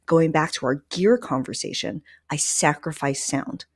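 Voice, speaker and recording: Low-key dry recording, woman podcast host. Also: measured pace, slightly rough, no room sound. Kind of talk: conversational podcast interview. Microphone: microphone plugged into a laptop.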